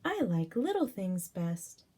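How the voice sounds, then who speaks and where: A woman's voice: on the low side, sing-song, slow pace, dark tone, breathy edge, heavily acted. A woman voicing a cheerful little bird in a children's storybook, with a light, dainty delivery. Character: little bird who loves little things, cheerful.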